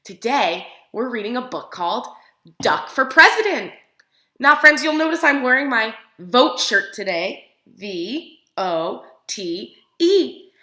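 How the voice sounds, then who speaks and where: Casting: woman preschool teacher, bright high voice, expressive picture-book read-aloud with questions to little listeners, recorded in an echoey room. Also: slow pace. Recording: roomy, echoing room sound.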